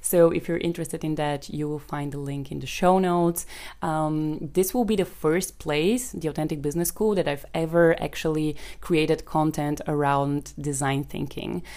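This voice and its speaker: Bulgarian woman doing a solo podcast, pretty low voice, chatty, says um a lot. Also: steady tone, moderate pace, mellow. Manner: chatty, conversational, frequent ums. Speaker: podcast host and business coach.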